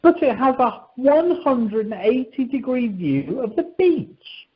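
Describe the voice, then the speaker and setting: A woman's voice: fairly high pitch, very animated, takes it slowly. A woman playing an eager, courteous holiday rep in an amateur radio comedy, over a dull conference line. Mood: eager, polite.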